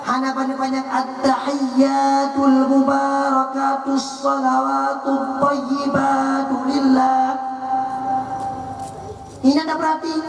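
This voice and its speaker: A man from Aceh with an Acehnese accent preaching an animated village sermon, slipping into slow chanted prayers. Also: very high voice. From Aceh, Indonesia. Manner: animated, mixes local words, chants prayers slowly. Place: village religious gathering. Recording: echoey hall, distant mic, loud music.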